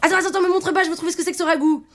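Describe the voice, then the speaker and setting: Fast, bickering, childish thirty-year-old daughter. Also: female voice, very high-pitched, fairly even intonation, a bit bright, soft background music. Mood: playful, excited. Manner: whiny, exasperated, childlike outbursts. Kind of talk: naturalistic French fiction podcast acting.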